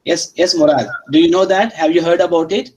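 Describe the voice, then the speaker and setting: A man lecturing a university class in a fairly high voice. He is a non-native English speaker who restarts and repeats phrases, often stopping to put questions to his students. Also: steady tone, brisk, a little mellow, low-quality recording. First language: not Arabic or English.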